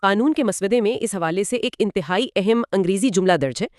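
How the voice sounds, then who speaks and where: A woman's voice: medium pitch, fairly quick, a little mellow, no room sound. Inquisitive woman in a dry-sounding recording. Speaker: co-host leading with questions. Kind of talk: conversational legal explainer dialogue.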